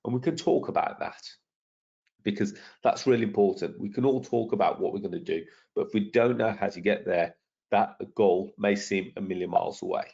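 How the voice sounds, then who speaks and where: A middle-aged man with a croaky, gravelly voice, presenting a property investment webinar in a persuasive, self-promoting way and putting questions to the audience. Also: lowish voice, a little fast, a little mellow. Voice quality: gravelly, husky. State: croaky from lingering illness. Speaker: property trainer hosting a webinar. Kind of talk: webinar presentation over slides. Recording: dull lo-fi webinar audio.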